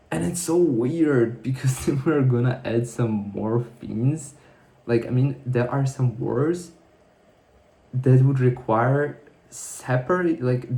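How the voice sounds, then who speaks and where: A man's voice: mid-range voice, mellow. A man talking casually to camera in a diary-style vlog, rambling and correcting himself as he thinks aloud. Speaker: vlogger. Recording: echoey room.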